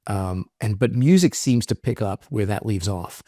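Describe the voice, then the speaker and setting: Thoughtful man, lowish voice. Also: lively intonation, normal speed, dark tone, no room sound, clean studio sound. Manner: thoughtful, explanatory, frequent "right?" and "um". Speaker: podcast guest, musician. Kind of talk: reflective interview talk.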